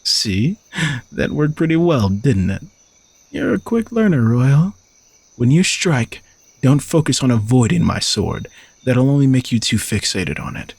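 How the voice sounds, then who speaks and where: A man playing an arrogant, teasing young knight bodyguard who is gruffly dutiful, in an audio roleplay spoken to the listener. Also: mid-range voice, sing-song, measured pace, a little mellow, dry recording, full character voice. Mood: confident, teasing.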